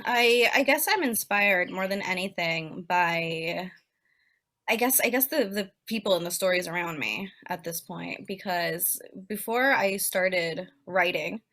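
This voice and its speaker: Bright-voiced young woman, chatty guest. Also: fairly low pitch. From Peru. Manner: chatty, many "like" fillers, quick laughs. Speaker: author guest. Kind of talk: casual interview chat.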